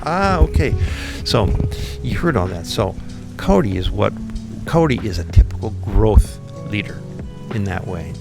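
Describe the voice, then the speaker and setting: Low gravelly-voiced man with music behind. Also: animated, measured pace, hardly any pauses. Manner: thoughtful, many ums and restarts. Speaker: podcast guest, company founder. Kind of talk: relaxed interview conversation.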